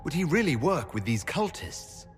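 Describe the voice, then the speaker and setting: An actor playing a heroic elf leader in a video game, putting pointed questions in cutscene dialogue. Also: male voice, on the high side, very animated, normal speed, soft background music. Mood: concerned. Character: calm, challenging heroic young male elf leader.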